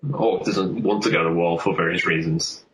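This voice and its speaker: Lowish-voiced guy in an echoey room. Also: steady tone, moderate pace, fairly bright tone. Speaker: podcast co-host. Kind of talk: casual chat. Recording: roomy echo, background noise.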